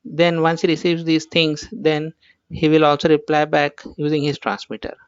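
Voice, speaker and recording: A man's voice: a little high, monotone, moderate pace, smooth, dry recording, highs cut off. A man with an Indian accent gives an informal tutorial as an instructor, explaining things step by step and often repeating himself.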